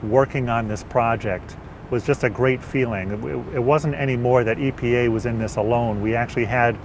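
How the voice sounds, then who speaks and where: A man's voice: medium pitch, moderate pace, noisy background. Middle-aged man in outdoor interview. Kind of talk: documentary interview. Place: outdoors on a waterfront. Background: music.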